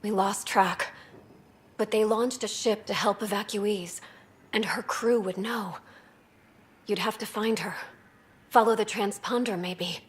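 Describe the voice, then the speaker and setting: A woman's voice: mid-range voice, steady tone, measured pace, pauses often, close to an everyday voice. A woman playing a weary alien junior officer, a refugee survivor, in video game cutscene dialogue. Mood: subdued, grateful.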